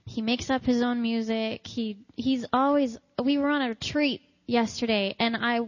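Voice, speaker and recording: A woman answering interview questions about her husband in an earnest, lightly halting way. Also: fairly high pitch, fairly even intonation, dry recording. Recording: dry, dull lo-fi sound.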